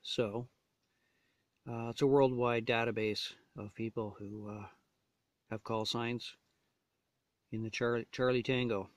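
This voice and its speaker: A man's voice: medium pitch, fairly even intonation, takes it slowly, long pauses, dry recording. A man casually demonstrating gear as a reviewer, talking in short, hesitant phrases. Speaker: gear reviewer showing a radio install.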